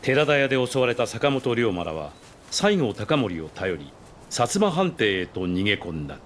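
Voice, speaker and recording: A man's voice: medium pitch, very animated, moderate pace, a bit bright, faint music behind. A man narrating a historical video game story in a formal manner. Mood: solemn.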